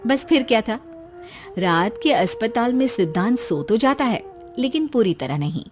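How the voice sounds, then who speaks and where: A woman narrating a cartoon moral story in a lively, expressive manner. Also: on the high side, moderate pace, a little hiss or hum.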